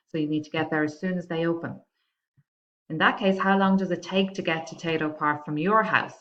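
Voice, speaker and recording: Irish female tutor, low voice, informal walkthrough of a lesson, slightly muffled lo-fi sound. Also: a bit bright. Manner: instructional, checking in with frequent "okay". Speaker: adult education maths tutor. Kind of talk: informal step-by-step screen-share lesson. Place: indoors, recorded over a screen capture.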